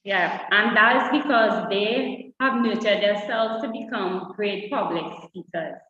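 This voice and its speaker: A woman's voice: mid-range voice, a little slow, sharp tone, slight background noise. A woman co-presenting a public speaking workshop, speaking to the audience and asking them direct questions. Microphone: online session audio. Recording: dull distant echoey hall sound.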